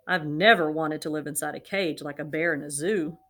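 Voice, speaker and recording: A woman's voice: sits low, a little fast, fairly bright tone, pitched below the performer's own voice. A woman voicing a small, cheerful male toy bear from a storybook, wide-eyed and wistful.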